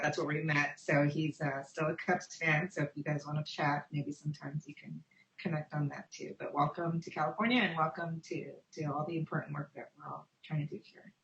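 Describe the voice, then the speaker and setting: A woman's voice: deep voice, fairly bright tone. Friendly woman in a roomy call. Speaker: parent representative commissioner. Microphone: video call. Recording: roomy, dull video call audio.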